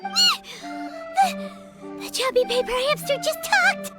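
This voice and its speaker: A woman's voice: very high voice, wildly swooping pitch, slow, pushed and bright, faint music behind, heavily acted. A woman voicing a small, childlike, chatty and easily spooked fairy companion in a video game, exclamatory in manner. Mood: surprised. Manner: refers to self in third person, exclamatory. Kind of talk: cartoonish video game voice acting.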